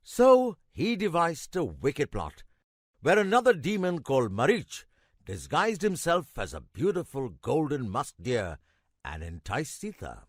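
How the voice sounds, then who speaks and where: A man playing a kindly old grandfather in a children's cartoon, narrating a legend in a measured, expressive way with emphatic pauses. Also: a little high, dry recording, clean studio sound, heavily acted. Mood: dramatic storytelling.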